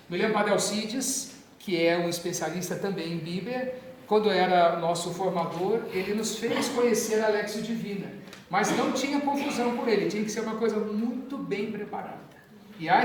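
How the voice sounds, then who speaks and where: A Brazilian man from São Paulo, a priest, giving an informal training talk to parish catechists, animated and conversational with asides and jokes. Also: high voice, slight background noise. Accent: Brazilian Portuguese, São Paulo. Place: parish hall. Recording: echoey parish hall from far off.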